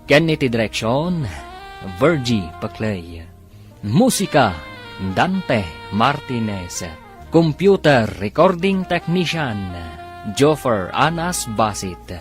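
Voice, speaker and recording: A man's voice: mid-range voice, very animated, slow pace. A man with a Filipino accent narrating and announcing a radio drama in a theatrical, scripted style. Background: music under the voice.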